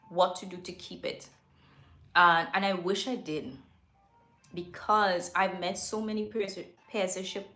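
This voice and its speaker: A woman's voice: medium pitch, a bit bright. Woman host, casual livestream chat, candid and rambling, echoey home studio. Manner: rambling and candid, with frequent self-corrections.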